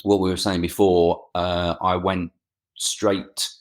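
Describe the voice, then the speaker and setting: A man's voice: low voice, level tone, takes it slowly. A middle-aged man with an Essex accent, chatting as an interview guest, hesitant and often repeating words as he restarts sentences. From England. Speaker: guest, freelance photographer. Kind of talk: casual interview chat. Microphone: remote interview recording.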